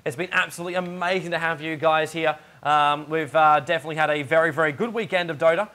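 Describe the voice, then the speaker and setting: A young man with a raspy voice, chatting and joking as an esports shoutcaster from his home studio. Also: a little high, fairly even intonation, fast talker. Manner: chatty and joking, with many fillers. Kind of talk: casual solo commentary during a game pause.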